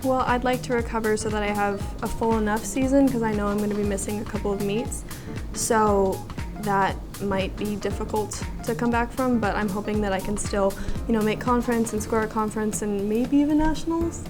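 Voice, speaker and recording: A woman's voice: medium pitch, fairly even intonation, brisk. A young woman from Colorado, a college swimmer answering casually in an interview. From Colorado, United States. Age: college freshman, about 18. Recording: music playing behind the voice.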